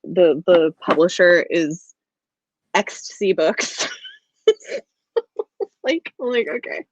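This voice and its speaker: Woman, casual livestream host, informal book talk, lower pitch, dull lo-fi sound. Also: expressive, slow, no echo.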